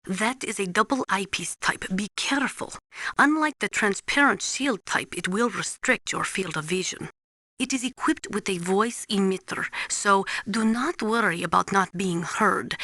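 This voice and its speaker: A woman playing a serious female weapons expert in a video game, giving measured, technical briefings to an agent. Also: mid-range voice, pushed and bright, no room sound. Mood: precise, cautioning. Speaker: mission support adviser.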